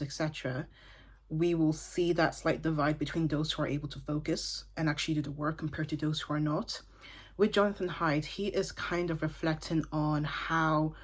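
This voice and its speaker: A woman in her twenties from Dublin, chatting casually and thoughtfully about a book, with side remarks. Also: low-pitched. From Ireland (Dublin). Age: 20s. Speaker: book vlogger. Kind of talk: casual book review talk.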